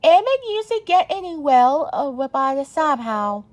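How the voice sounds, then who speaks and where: High-pitched woman reading a storybook haltingly. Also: very animated. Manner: halting, stumbling over words. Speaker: storybook reader. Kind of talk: amateur read-aloud of a children's story.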